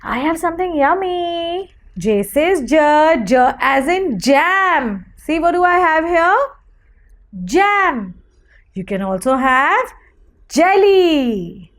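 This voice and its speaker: A woman teaches small children in a very high voice that swings widely in pitch. She speaks extremely slowly, repeating words and putting questions to her young viewers. Her delivery is encouraging and sing-song, like a preschool phonics teacher. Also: big pitch swings.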